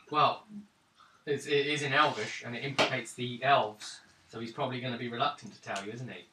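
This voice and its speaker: Guy running a tabletop rpg, echoey room, mic far off, loud rattling. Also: medium pitch, steady tone, normal speed, pauses often, noisy background. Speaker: game master. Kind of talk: casual tabletop role-play game refereeing. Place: indoors around a gaming table.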